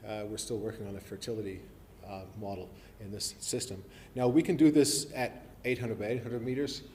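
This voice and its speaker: A man's voice: lowish voice, fairly even intonation, a little fast, darkish tone. Chatty man lecturing in a hall. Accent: mixed, not typically New Zealand. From New Zealand. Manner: chatty and self-deprecating, many ums and restarts. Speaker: forestry professor giving a talk. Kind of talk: live presentation to an audience. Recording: live hall recording with reverb and faint hiss.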